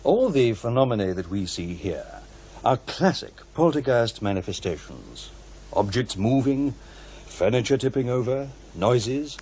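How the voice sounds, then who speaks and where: A man playing a shrewd, proud old professor who investigates ghosts in an audio drama, speaking in a measured, pedantic, testy way. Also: mid-range voice, a little mellow, breathy edge, exaggerated voice. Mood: measured, assured. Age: older. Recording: faint background noise.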